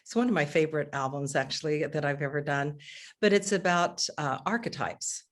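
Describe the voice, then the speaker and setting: A middle-aged woman, a singer, talking casually on a video call from her living room, chatty and animated with frequent restarts. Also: low-pitched, moderate pace. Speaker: singer and guest.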